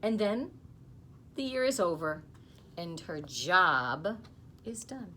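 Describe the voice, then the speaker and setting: A woman in her fifties reads a picture book aloud to children, expressively and unhurriedly, with soft explaining asides. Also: fairly low pitch, sing-song, takes it slowly. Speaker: school storytime reader. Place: small office, indoors. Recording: clearly noisy small-room recording.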